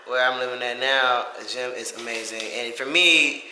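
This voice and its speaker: A man's voice: medium pitch, steady tone, moderate pace, pushed and bright. A man giving a casual, rambling personal update to camera in an informal, self-reflective way. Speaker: vlogger. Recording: echoey room with faint hiss.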